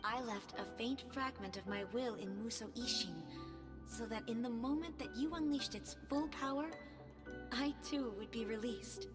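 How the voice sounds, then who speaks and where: An actress playing a gentle, affectionate female former goddess who speaks softly with a light teasing touch in a game cutscene. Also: on the high side, moderate pace, in a large hall, music in the background, close to an everyday voice. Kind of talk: video game cutscene voice acting.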